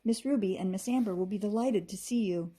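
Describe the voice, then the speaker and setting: Lady reading as a big polite frog servant in a storybook, formal, mellow and husky. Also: medium pitch, brisk, lightly acted. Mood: courteous, pleased. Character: courteous large male frog servant.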